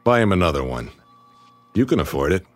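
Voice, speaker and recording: Vengeful radio drama heavy: low voice, sardonic, hard-boiled menace, short mocking lines. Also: male voice, very animated, brisk, soft background music. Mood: cool, terse. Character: menacing, sardonic male tough guy out for revenge.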